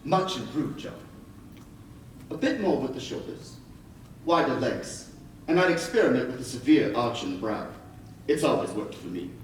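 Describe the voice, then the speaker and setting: A man's voice: mid-range voice, lively intonation, normal speed, long pauses, natural voice. An actor playing a pompous, flustered father in a theatrical stage comedy style, fussy and wordy. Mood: pompous, encouraging. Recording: echoey stage recording, distant mic, faint noise.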